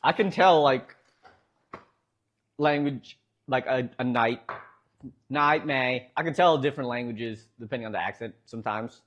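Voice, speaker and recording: A man's voice: medium pitch, a little slow, pauses often, a bit bright, lo-fi sound. A man with a Midwestern American accent streaming from home, rambling casually to his chat and laughing often.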